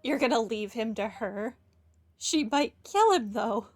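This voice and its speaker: Wry, high-voiced woman gamer. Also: lively intonation, unhurried, soft background music. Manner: wry, dry asides. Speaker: game player. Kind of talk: casual playthrough commentary.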